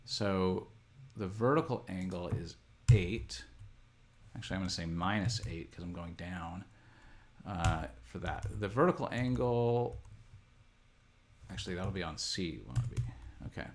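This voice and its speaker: A middle-aged man giving an unscripted tutorial, thinking aloud in a halting, methodical way as he talks through each step. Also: sits low. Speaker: instructor. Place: indoor room at a desk, computer screen recording.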